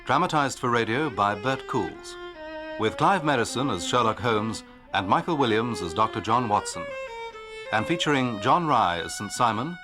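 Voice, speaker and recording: A man reading scripted credits as a radio drama announcer. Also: medium pitch. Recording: string music behind.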